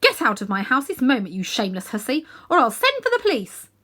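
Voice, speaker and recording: A woman's voice: high voice, huge pitch swings, fast, pushed and bright, voice pushed up, exaggerated voice. A woman reading a storybook, voicing a tough, indignant old aunt who scolds and gives orders icily. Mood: outraged. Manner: icy, scolding, commanding.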